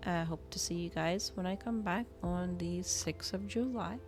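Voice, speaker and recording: A woman's voice: on the low side, normal speed, darkish tone, faint music behind. A woman livestreamer chatting casually to her viewers, rambling, trailing off and thinking aloud. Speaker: livestreamer hosting an ASMR and gaming channel.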